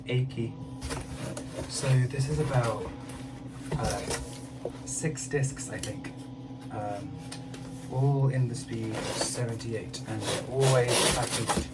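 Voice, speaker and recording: A man who collects records, presenting an unboxing in a casual, halting way with pauses between phrases. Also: fairly low pitch. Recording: distant mic with clear background noise.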